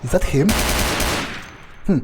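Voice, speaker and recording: A man playing a wary, ruthless vampire on the hunt, thinking aloud in halting, hesitant phrases over a video game. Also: very noisy, close to an everyday voice. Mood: wary, searching. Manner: musing, hesitant, halting self-corrections. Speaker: let's player role-playing a game character. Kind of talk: in-character improvised gameplay narration, thinking aloud.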